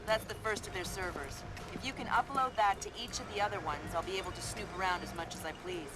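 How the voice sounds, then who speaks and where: A woman's voice: medium pitch, fast, music in the background, natural voice. An actress playing a young, perky female tech analyst guiding a spy in a video game, playful and informal. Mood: playful, pleased.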